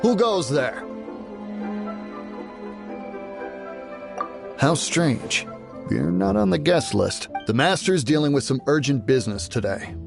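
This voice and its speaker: A man's voice: on the high side, expressive, moderate pace, creaky, over music. An actor playing an officious, easily flustered male servant in a video game, formal and hesitant. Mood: wary. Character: anxious, officious male household servant guarding his master.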